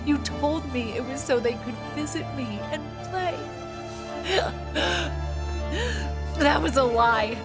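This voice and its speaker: An actress playing a desperate, anguished wife in a gothic audio drama, pleading and accusing. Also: female voice, high-pitched, normal speed. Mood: bitter despair. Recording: music under the voice.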